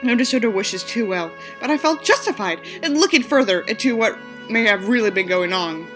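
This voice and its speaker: A woman with a high, bright voice reads an audiobook theatrically. She is sarcastic and sweary, swinging widely in pitch, with soft music underneath. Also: lively intonation, a little fast. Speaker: audiobook reader and commentator. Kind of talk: scripted narration with sarcastic asides.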